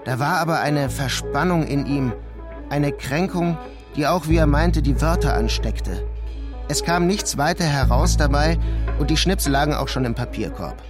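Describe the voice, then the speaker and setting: An actor playing a weary, introspective journalist in a literary radio play, narrating in a measured, subdued way. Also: male voice, a little high, lightly acted. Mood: brooding, tense. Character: brooding, weary male journalist writing a painful letter. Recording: music behind the voice.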